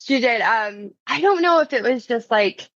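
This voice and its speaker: A woman's voice: on the high side, animated, moderate pace, no echo. A woman podcast guest telling stories in casual, informal chat. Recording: dull lo-fi audio.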